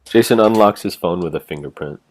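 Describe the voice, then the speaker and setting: A man's voice: low voice, a little fast. A man reading stage directions as plain scripted narration for a play.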